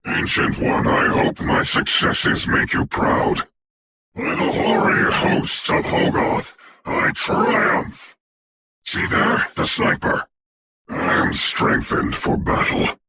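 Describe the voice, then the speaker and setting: A man playing a grave, commanding dark sorcerer for a video game, with a breathy rasp, formal grandiose diction and eerie distorted processing. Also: noisy background. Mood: solemn, then urgent, commanding. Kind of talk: video game hero voice lines.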